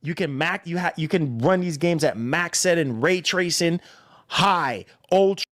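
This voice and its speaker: Slow-paced, higher-voiced man chatting casually. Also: animated. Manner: emphatic, repeats himself, slangy. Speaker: livestream host. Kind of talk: casual livestream chat.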